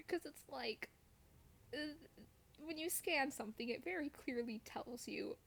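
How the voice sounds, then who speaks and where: A woman's voice: sits high, animated, measured pace, gravelly, fairly bright tone. A woman streamer chatting casually over gameplay in a playful, wryly self-deprecating way.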